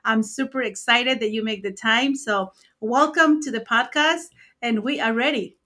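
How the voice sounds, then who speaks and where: A woman's voice: on the high side, moderate pace, bright, forceful tone. A woman who speaks natively, hosting a podcast interview in an effusive, enthusiastic, conversational way. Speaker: podcast host.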